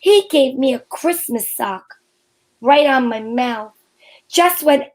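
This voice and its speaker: A woman's voice: high voice, lively intonation, slow pace, darkish tone. A woman playing a breezy, playful private detective narrating her case in an old-time radio drama. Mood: playful, fond.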